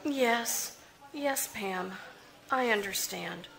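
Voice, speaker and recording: A frightened young accomplice in a 1940s radio drama, voiced by a woman. She talks slowly in a high, bright voice that swings up and down. She is hesitant and pleading throughout. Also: animated, gravelly, full character voice. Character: timid, frightened young woman led into a crime.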